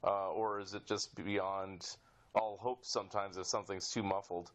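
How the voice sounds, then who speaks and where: A man with a fairly low voice hosts a casual interview. He words his questions loosely and hesitantly, in an informal, conversational way. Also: level tone, moderate pace, fairly bright tone. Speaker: podcast host, interviewer.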